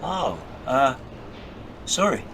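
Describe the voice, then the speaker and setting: An actor playing a polite, chirpy, somewhat awkward railway station worker in an audio drama, hesitant in manner. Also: male voice, mid-range voice, big pitch swings, drawn-out words, heavily acted. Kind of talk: naturalistic audio drama acting. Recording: clear station ambience and train noise.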